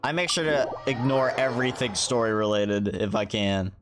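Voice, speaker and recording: A man talks over his gameplay in a medium-pitched voice, casual and offhand like a livestreamer. He is indoors, with faint game music behind him. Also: steady tone, moderate pace. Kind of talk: casual gameplay commentary and reading dialogue aloud. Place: indoors, streaming room.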